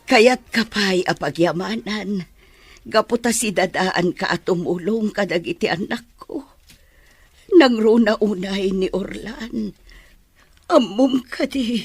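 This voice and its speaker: A woman's voice: medium pitch, lively intonation, slow, slightly rough, dry recording, heavily acted. A woman playing an anxious, devout and grateful mother in an Ilocano drama, speaking earnestly and emotionally. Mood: grateful, sorrowful. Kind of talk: Ilocano audio drama acting.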